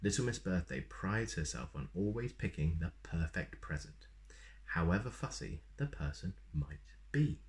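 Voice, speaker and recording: Male storyteller, thirties, low voice, animated and playful read-aloud for young children. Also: normal speed. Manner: expressive, playful. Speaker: storyteller reading a children's picture book. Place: small plain room indoors.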